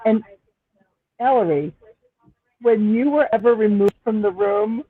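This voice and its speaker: A woman's voice: medium pitch, a little slow. A woman giving casual, gushing, complimentary feedback after a show over a video call. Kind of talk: casual post-show praise. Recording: thin phone-like video call audio.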